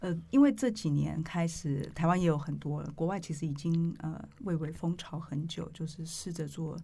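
A woman's voice: sits low, normal speed, vocal fry, warm tone. A middle-aged woman with a Taiwanese accent, interviewing conversationally in articulate, discursive turns.

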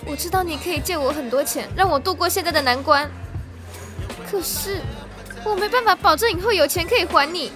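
A woman's voice: very high-pitched, brisk, over music. An actress in an audio drama playing a proud, troubled young woman in family distress. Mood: guarded, blunt. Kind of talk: modern Mandarin audio drama acting.

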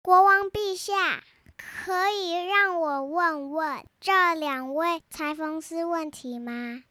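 A brave, earnest little girl, acted by a child in a storybook drama. The voice is extremely high and bright, reciting each line slowly and carefully. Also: no echo, full character voice. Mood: bold, questioning.

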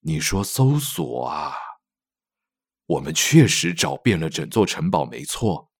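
A man playing a reassuring, talkative middle-aged father in an audio drama, explaining things in an expressive, fatherly way. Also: rumbling low, slow pace, breathy edge, no echo, clean studio sound, full character voice.

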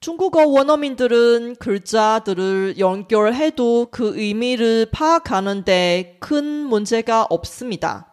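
Slow, high-voiced woman with a Taiwanese accent. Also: animated. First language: Chinese. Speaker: Chinese language teacher. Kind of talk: scripted educational narration.

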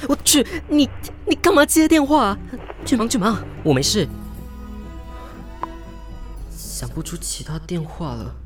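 A woman's voice: mid-range voice, big pitch swings, a little slow, long pauses, soft background music. A woman with a Taiwanese Mandarin accent playing a flustered, self-mocking young woman in an audio drama, hesitant and polite aloud, blunt when muttering to herself. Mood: frustrated. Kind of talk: audio drama acting with spoken inner monologue.

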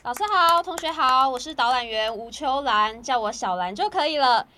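A woman's voice: high-pitched, expressive, moderate pace, bright, forceful tone, a little hiss or hum. A woman playing a cheerful tour guide. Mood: guiding. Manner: upbeat, addressing a group.

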